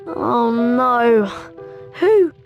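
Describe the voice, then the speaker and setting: A woman voicing an earnest, excitable young schoolboy wizard in a video game, urgent in manner, with a British accent. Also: a little high, very slow, gravelly, dull audio, faint music behind, heavily acted. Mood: frustrated, worried. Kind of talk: video game character acting.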